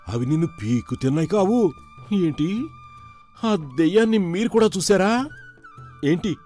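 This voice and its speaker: A man voicing an excitable, superstitious village manservant with exclamatory rustic speech in a folk tale. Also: a little high, natural voice. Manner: exclamatory, colloquial rustic phrasing.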